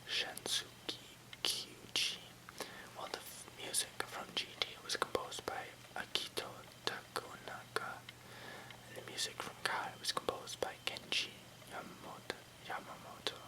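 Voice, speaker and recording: This is an ASMR host who never rises above a breathy whisper. The delivery is that of someone reading aloud informally, with casual phrasing and small stumbles over words. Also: rough recording.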